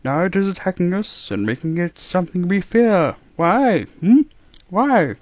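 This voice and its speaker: A man playing an elderly doctor, fussy and grandfatherly, his voice pitched up and rising and falling freely. The sound is dry and a little muffled. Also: sing-song, measured pace, darkish tone, no room sound. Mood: worried.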